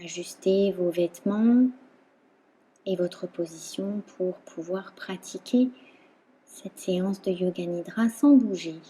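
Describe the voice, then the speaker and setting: A woman instructor guiding a relaxation meditation in a calm, soothing manner, leaving long pauses between phrases. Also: lowish voice, slightly rough, rough recording. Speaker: yoga nidra instructor.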